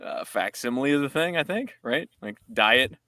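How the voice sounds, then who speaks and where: A man's voice: mid-range voice, animated, moderate pace, pushed and bright, no room sound. A man, a musician guest, chatting casually and rambling upbeat about making a song. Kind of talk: casual chat.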